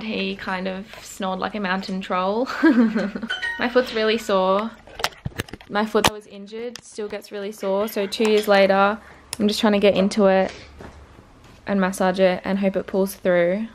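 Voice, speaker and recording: A woman in her twenties with an Australian accent, chatting casually and slangily to a vlog camera. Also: mid-range voice. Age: 20s. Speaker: vlogger, sprinter. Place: small motel room, sometimes just outside it. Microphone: handheld vlog camera at arm's length. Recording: handheld camera audio with background noise.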